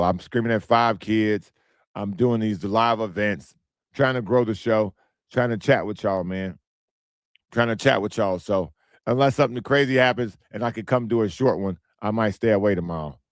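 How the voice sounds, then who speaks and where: A man hosting his own podcast in casual, blunt commentary, repeating himself, with a hoarse, strained voice as he loses it. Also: lowish voice, dry recording, studio quality. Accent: American. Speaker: podcast host.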